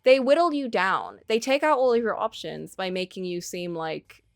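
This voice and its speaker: A woman's voice: mid-range voice, sing-song, normal speed, dry recording, clean studio sound. A woman streaming casual, opinionated commentary on a story game, thinking aloud in a conversational way.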